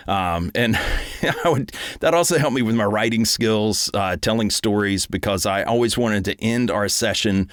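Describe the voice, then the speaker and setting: A middle-aged man and voice actor, talking casually from his home recording booth, relaxed and rambling with many ums and restarts. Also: lowish voice, normal speed, dry recording, clean studio sound. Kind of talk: casual talk to camera.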